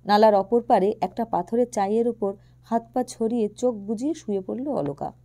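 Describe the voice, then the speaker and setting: A woman reading a literary romantic story aloud as narrator, in an expressive storytelling style. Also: on the high side, normal speed.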